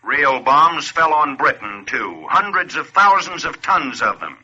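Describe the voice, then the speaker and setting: Casting: grave male radio narrator, very sharp and forceful, declamatory wartime style, muffled vintage sound. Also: fairly high pitch, big pitch swings, unhurried, breathy edge, exaggerated voice. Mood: grave, urgent. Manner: solemn, declamatory. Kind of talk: scripted 1940s radio narration. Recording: dull lo-fi 1940s radio recording.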